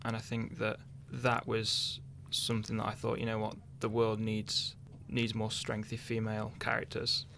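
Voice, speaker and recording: A man's voice: lowish voice, completely flat delivery, normal speed, vocal fry, hardly any pauses, bright, forceful tone, slight background noise. A young man chatting casually in a radio interview, thinking aloud hesitantly. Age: young adult. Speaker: interviewed radio drama writer.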